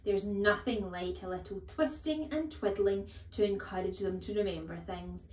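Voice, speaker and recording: A woman voicing a bullying, booming headmistress who barks her words slowly and with contempt, for an audiobook. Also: roomy sound, lightly acted. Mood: barking, scornful. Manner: loud, barking, slow and contemptuous. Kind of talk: audiobook character voice.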